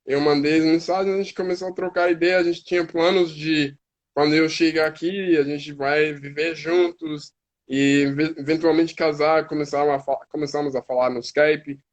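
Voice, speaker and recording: A man with an American accent, a native English speaker, talking as a guest on a live stream and often restarting words as he searches for them. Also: a little high. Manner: halting, restarts words while searching in Portuguese. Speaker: guest, hip hop artist. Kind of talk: casual live-stream conversation.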